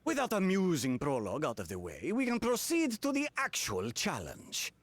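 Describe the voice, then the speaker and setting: Grandiose scheming rancher from a fantasy game, highish husky voice, pitch swoops everywhere. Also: male voice, wildly swooping pitch, moderate pace, dry recording. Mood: smug, teasing.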